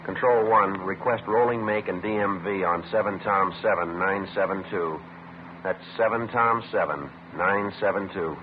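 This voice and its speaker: An actor playing a terse, methodical police detective and narrator in a vintage radio crime drama, speaking in a clipped, matter-of-fact way. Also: male voice, sits low, steady tone, moderate pace, lightly acted. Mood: terse, focused. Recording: tinny, noisy old radio recording.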